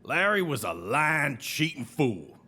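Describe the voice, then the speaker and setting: A man's voice: fairly high pitch, very animated, takes it slowly, pushed and bright, husky, exaggerated voice. An actor playing a brash, sly, folksy salesman with an American Southern accent and needling, colourful idioms in an audio drama. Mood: indignant, scornful. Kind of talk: scripted audio drama acting.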